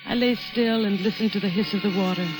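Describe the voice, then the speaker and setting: An actress playing a worried, suspicious wife who runs a failing ranch, in 1940s radio drama style with narration and questioning dialogue. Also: female voice, mid-range voice, steady tone, fast, close to an everyday voice, low-quality recording. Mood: brooding. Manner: brooding, questioning. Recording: vintage radio broadcast with music.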